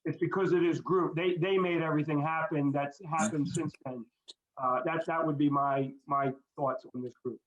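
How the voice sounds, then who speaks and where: A man's voice: on the high side, steady tone, normal speed. A middle-aged man speaking earnestly and reflectively in a group conversation, often repeating words as he goes. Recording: dull, echoey audio.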